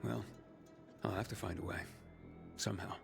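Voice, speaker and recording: A man's voice: deep voice, steady tone, moderate pace, vocal fry. An actor playing a wary male pilgrim courier who talks bluntly and tersely, in a game cutscene. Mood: curious. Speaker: player character, a pilgrim courier. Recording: game cutscene with music bed.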